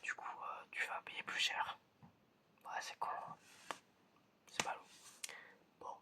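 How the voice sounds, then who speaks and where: A breathy whisper with a bright, crisp edge carries this ASMR roleplay. The speaker is chatty and playful, hesitating and repeating little phrases while acting as an examiner running simple tests. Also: slow pace. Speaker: ASMR creator playing an examiner giving sense tests.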